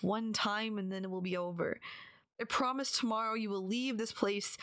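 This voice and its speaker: Actress as despairing yandere woman, creaky voice, dry close sound, halting half-whispers, pleading, intimate ASMR roleplay. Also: mid-range voice, fairly bright tone, dry recording. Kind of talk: intimate ASMR-style dramatic audio roleplay.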